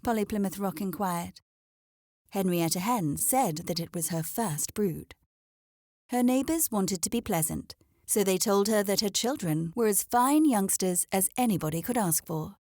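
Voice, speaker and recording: A woman's voice: medium pitch, expressive, measured pace, a little mellow, dry recording. Woman storyteller, dry close sound. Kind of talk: scripted children's story narration.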